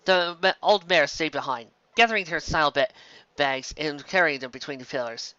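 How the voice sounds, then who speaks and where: Male amateur storyteller, higher-pitched, forceful, scripted fantasy narration, dry muffled recording. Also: moderate pace, smooth, no echo. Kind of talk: amateur fan fiction reading, scripted narration.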